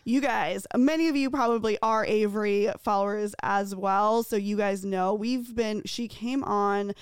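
Smooth upbeat woman podcast host. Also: medium pitch, fairly even intonation, a little slow, no room sound. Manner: upbeat, encouraging, chatty. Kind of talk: casual chat.

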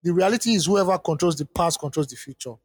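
A man co-hosting a podcast, reading mail and chatting at a brisk clip. His voice sits a little high with a husky edge. A long reverb tail trails behind his words. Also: lively intonation, a little mellow, studio quality. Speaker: podcast co-host. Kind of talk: reading listener mail aloud, then casual discussion.

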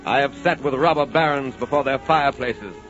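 A man's voice: medium pitch, fairly quick, fairly bright tone, close to an everyday voice. A man playing Adventure itself, a romantic immortal spirit, in a grandiose, theatrical 1940s radio monologue. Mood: grand, seductive. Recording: muffled old 1940s radio recording, music.

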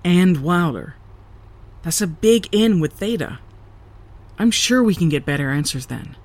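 A cautious but supportive woman working on the Moon. Her low voice moves with lively inflection, in the conversational manner of an audio drama. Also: a little slow, a little hiss or hum. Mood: uneasy, supportive.

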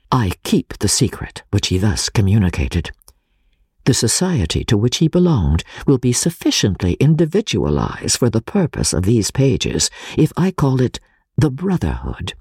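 Very husky male audiobook narrator. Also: lowish voice, a little mellow, no echo, clean studio sound. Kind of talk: dramatic literary narration.

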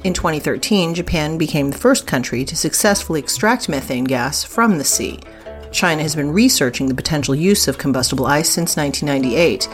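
A woman's voice: low-pitched, expressive, fairly quick, faint music behind. A woman co-hosting a podcast, reading scripted news in a presenter's style. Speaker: podcast co-host.

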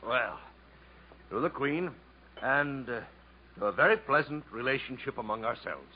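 An actor playing an insinuating, hearty-drinking bungalow owner with mock-polite, domineering manner in 1950s radio style. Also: male voice, mid-range voice, animated, moderate pace, lightly acted. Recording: dull, noisy vintage radio recording.

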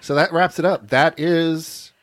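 A man's voice: fairly high pitch, fairly even intonation, measured pace, a bit bright. A man hosting a podcast, reading a scripted story and then chatting and teasing his co-hosts. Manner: laughs easily, teasing. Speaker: podcast host.

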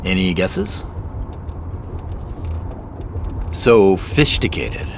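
An actor playing a hesitant, apologetic professional man in a naturalistic audio drama, with a halting, awkward way of talking. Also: low voice, expressive, slight background noise, full character voice. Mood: awkward, rueful. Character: Joseph, hesitant, apologetic middle-aged professional man with dad jokes.

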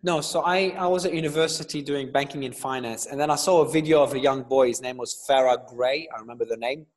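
A Lebanese-born man whose speech carries an Australian accent, answering interview questions. He talks in an earnest storytelling way, at middling pitch, with many fillers. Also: fast. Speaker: guest entrepreneur.

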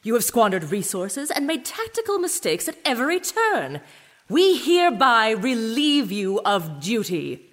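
A woman's voice: fairly high pitch, sing-song, unhurried. An unforgiving board director in a dystopian radio play. She is formal and accusing, with strongly varied intonation and long reverb. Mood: stern, accusing. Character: stern, unforgiving female corporate board director. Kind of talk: dystopian audio drama acting. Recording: reverberant hall.

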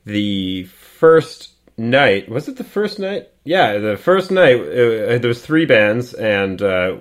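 A man's voice: medium pitch, sing-song, slow pace. Chatty young man telling a story. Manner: rambling storyteller, stumbles and restarts, laughs often. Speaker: podcast co-host. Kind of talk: casual chat. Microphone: podcast microphone.

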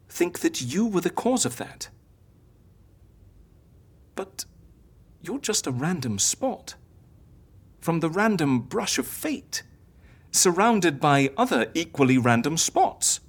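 A confident, theatrical death faker in an audio drama. Her voice is low and husky, swinging widely as she explains like an eager teacher. She is philosophical, encouraging and sure of herself. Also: heavily acted. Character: theatrical, confident woman who fakes deaths for clients.

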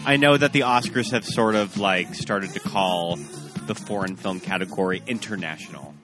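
A man's voice: low voice, normal speed, fairly bright tone, soft background music. An American man co-hosting a podcast in a casual, conversational film chat. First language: English. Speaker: podcast co-host.

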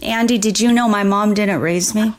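A woman having an intimate personal phone conversation, gentle and supportive, often answering with soft listening murmurs. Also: mid-range voice, moderate pace. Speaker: daughter speaking with her biological father.

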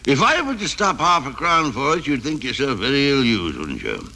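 A man's voice: medium pitch, wildly swooping pitch, moderate pace, vocal fry, bright, forceful tone, heavily acted. An older man playing a miserly, grumpy old employer in an old-time radio drama, grumbling and scornful. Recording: old radio broadcast with music.

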